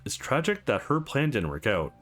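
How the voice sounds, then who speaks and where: A man reading a visual novel aloud as a let's play host, in an expressive storytelling manner. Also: mid-range voice, moderate pace, faint music behind. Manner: animated, voices each character's dialogue. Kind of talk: expressive narration with asides.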